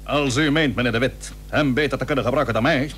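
A man's voice: mid-range voice, fast, a bit bright, natural voice. An actor playing a stern, proud admiral and cautious seaman in a historical radio play, speaking formally and emphatically. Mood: sober, stubborn. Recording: old radio recording with faint hum.

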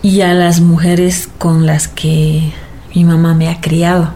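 Mellow, low-voiced Bolivian woman. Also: flat delivery, slow pace, slight background noise. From Bolivia, mining camps. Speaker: singer-songwriter being interviewed. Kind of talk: reflective conversational interview.

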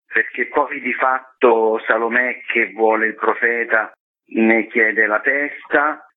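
Over a narrow telephone line comes a man with a medium-pitched voice. He is a theatre director being interviewed on the radio, explaining his work in an articulate, discursive way. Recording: phone-like narrow band radio call.

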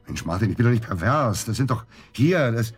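A man acting a bitter, middle-aged husband in a naturalistic German radio drama, with a husky voice and a blunt, emphatic way of repeating himself. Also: lively intonation, fairly quick. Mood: shocked, indignant. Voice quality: husky low voice. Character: bitter, hard-drinking husband of middle age, crude and volatile.

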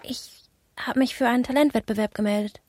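A woman's voice: a little high, fairly even intonation, fairly quick, very noisy, natural voice. An actress playing a brash, stubborn young postwoman with a colloquial manner in a radio play. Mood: defiant. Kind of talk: radio drama acting.

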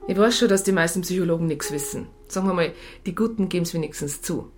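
A woman's voice: on the low side, fast talker, a little mellow, faint music behind, close to an everyday voice. Woman playing a blunt, sardonic police psychologist. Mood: resigned, sardonic. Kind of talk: naturalistic radio drama dialogue.

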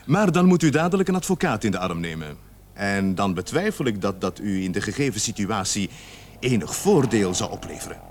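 Stern, animated police sergeant. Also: male voice, fairly low pitch, a little hiss or hum. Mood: firm, official. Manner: authoritative, measured, formal interrogator. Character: stern police sergeant leading a murder investigation. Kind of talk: radio play acting.

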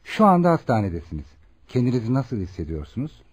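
A man's voice: mid-range voice, sing-song, fast, a little mellow, slightly rough, muffled recording. A man playing an attentive, polite hospital doctor in a radio drama, questioning and explaining in a formal, courteous way. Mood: gentle, probing. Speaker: actor. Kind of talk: Turkish radio theatre acting.

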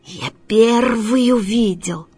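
A woman's voice: very deep voice, slow, sharp tone, breathy edge, pitched below the performer's own voice, heavily acted. A woman voicing a greedy, menacing male cat from a storybook, hissing threats.

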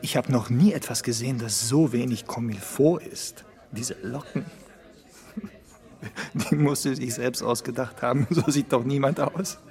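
An actor playing a chattering, mischievous young gentleman at a party in a radio play. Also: mid-range voice, measured pace, lightly acted.

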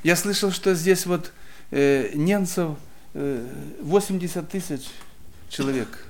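A man preaching and telling a personal story in short phrases, pausing after each so it can be interpreted. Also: a little high, a little mellow. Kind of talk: sermon testimony, interpreted line by line.

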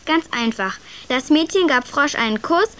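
A woman's voice: sits high, animated, moderate pace, fairly bright tone. A female performer playing a cheeky, matter-of-fact young grandchild in a radio play. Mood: cheeky, confident.

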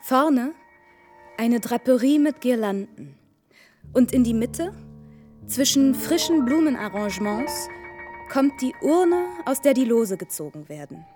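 A woman playing a brisk, practical young woman who runs her family's household in a radio play, bossy and matter-of-fact. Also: on the high side, very animated, darkish tone, soft background music.

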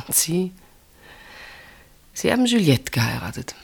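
An actress playing a reserved, tender woman in an intimate radio play, speaking in a gentle, measured, earnest way. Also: on the low side, sing-song, gravelly, slightly rough, exaggerated voice. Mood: sad, reproachful. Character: reserved, tender woman named Marianne, quietly devoted.

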